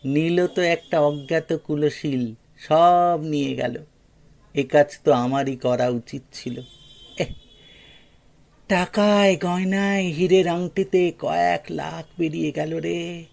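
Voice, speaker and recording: A man's voice: a little high, slow, gravelly, a little mellow, pitched above the performer's own voice. A man voicing a sly petty thief in an audio story, muttering to himself in gloating, self-pitying, mock-pious exclamations. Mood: envious, lamenting.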